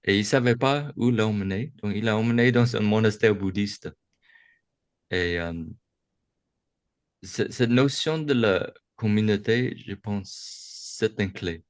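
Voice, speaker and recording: A man speaks in a low, unhurried voice, feeling his way through each thought with pauses and restarts. He gives a reflective Buddhist talk over a video call, and the sound is close and dry with no room echo. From United States. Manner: slow, halting, with many restarts and pauses. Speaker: Buddhist teacher speaking to young adults. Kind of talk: reflective dharma talk on a webinar. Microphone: video call microphone.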